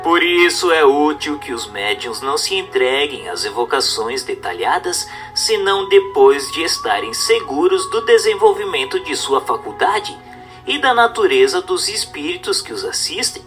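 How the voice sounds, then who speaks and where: A man's voice: fairly high pitch, big pitch swings, normal speed, a bit bright, faint music behind. A man reading a religious text as scripted narration, in a formal, instructive and carefully phrased manner.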